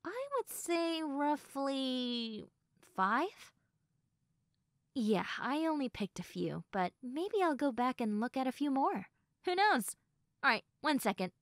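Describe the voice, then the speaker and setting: Playful high-voiced young woman acted by a woman. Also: very animated, takes it slowly, dry recording, studio quality, exaggerated voice. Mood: upbeat, chatty.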